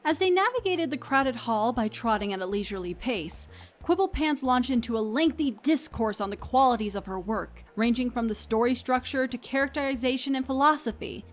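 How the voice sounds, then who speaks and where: A woman reading scripted story narration as the narrator of an audio play. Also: on the high side. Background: music.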